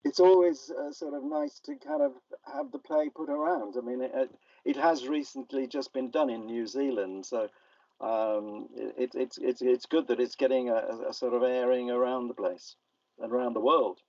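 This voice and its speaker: A man's voice: mid-range voice, steady tone, normal speed, darkish tone, no room sound. An older man with a British accent answering interview questions conversationally as a playwright, a little hesitant, over a remote call line. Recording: dull, band-limited call line.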